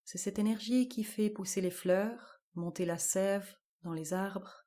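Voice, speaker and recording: A woman guiding a yoga nidra relaxation, giving calm, measured instructions in short, spaced-out phrases. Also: medium pitch. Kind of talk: guided relaxation meditation.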